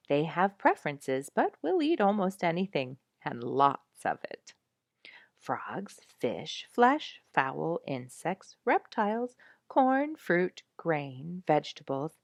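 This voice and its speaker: A woman reading an animal storybook aloud in an expressive, animated storytelling manner, as if for listeners following the pictures. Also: deep voice, vocal fry, dry recording, studio quality. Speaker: storybook reader.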